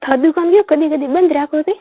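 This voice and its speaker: High-pitched woman on a muffled phone line. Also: fast talker, dry recording. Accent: Rajasthani Marwari. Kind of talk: casual phone chat. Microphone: telephone. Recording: muffled low-fidelity phone call recording.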